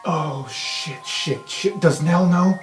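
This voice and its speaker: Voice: medium pitch, slow. A tabletop roleplay player improvising casual in-character dialogue. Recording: echoey room, faint music behind.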